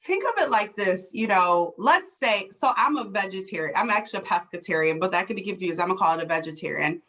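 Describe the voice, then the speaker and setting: A woman's voice: mid-range voice, lively intonation, a little fast, fairly bright tone. A woman hosting a conversation, explaining passionately and emphatically with everyday scenarios and rhetorical questions. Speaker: host, DEI consultant.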